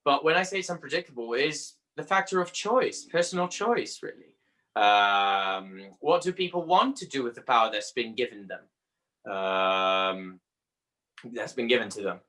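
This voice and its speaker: Bright-voiced Brazilian man, halting. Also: mid-range voice, animated. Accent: Brazilian Portuguese. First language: Portuguese. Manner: halting, searching for words with frequent pauses. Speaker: astrologer guest. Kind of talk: conversational interview.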